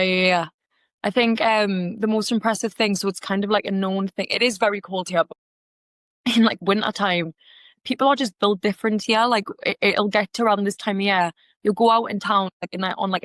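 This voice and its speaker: A woman's voice: mid-range voice, no echo. A young woman with a Geordie accent from the North East of England, chatting as a podcast guest with lots of restarts and fillers. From Gateshead, North East England. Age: young adult. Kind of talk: casual interview chat.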